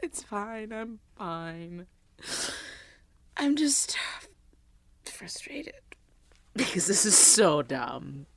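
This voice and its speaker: A woman's voice: mid-range voice, sing-song, drawn-out words, long pauses, dry recording, exaggerated voice. A woman playing a frustrated, sulky young person fleeing a wildfire in a radio play, exasperated and grudging. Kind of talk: contemporary radio play acting.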